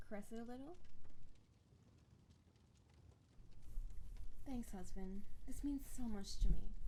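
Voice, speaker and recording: An affectionate, possessive snow-spirit wife, played by a woman, talks intimately to her husband in a roleplay audio drama. Her voice sits a little high but stays mellow and relaxed, with a teasing, gushing devotion. Background noise is audible behind her. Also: lightly acted, low-quality recording. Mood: tender, adoring.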